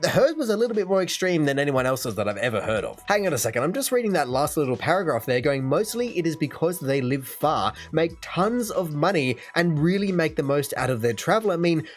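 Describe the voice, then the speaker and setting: Brief: young Australian guy hosting a reaction channel, brisk patter, hedged and chatty, faint music under. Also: fairly high pitch, big pitch swings. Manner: chatty, measured, hedging. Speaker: reaction video host. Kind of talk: casual commentary.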